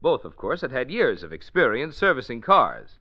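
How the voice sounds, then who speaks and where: A man's voice: mid-range voice, wildly swooping pitch, normal speed. An American man announcing and reading a scripted 1940s radio ad with folksy, polished salesmanship. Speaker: radio announcer, sponsor pitchman. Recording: dull lo-fi old radio recording.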